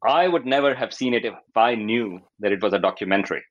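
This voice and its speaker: A man's voice: lowish voice, fast talker, lo-fi sound, very noisy. A man in his fifties with a Pakistani accent, an academic explaining research in an interview and often acting out quotes as he goes. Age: 50s.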